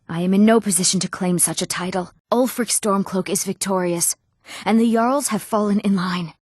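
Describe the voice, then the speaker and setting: A woman's voice: on the low side, darkish tone, no room sound, clean studio sound, close to an everyday voice. An actress playing an earnest, eager young woman in a fantasy video game. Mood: grieving, solemn. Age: young adult. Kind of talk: video game character dialogue.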